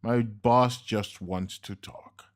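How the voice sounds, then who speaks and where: A man voicing a slickly dressed, coolly polite and menacing henchman, speaking slow, measured and smug. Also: on the low side, steady tone. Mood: smooth.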